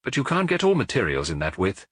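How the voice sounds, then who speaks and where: A man's voice: on the low side, huge pitch swings, fast talker, slightly rough, no echo, clean studio sound, exaggerated voice. A man voicing a proud, voluble housewife who explains things eagerly, in a detective audiobook.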